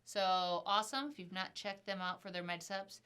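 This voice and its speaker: A woman's voice: medium pitch, flat delivery, moderate pace, sharp tone, clean tone. A woman co-hosting an insurance news show, talking in an upbeat, folksy way. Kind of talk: casual chat.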